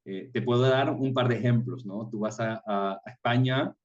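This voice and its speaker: A man's voice: mid-range voice, normal speed, darkish tone. A middle-aged man with an Ecuadorian accent talks casually as an interview guest, explaining with examples. His voice sits away from the microphone and sounds a little dull. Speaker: interview guest, tour guide. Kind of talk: casual interview, personal anecdotes. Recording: distant mic, slightly muffled sound.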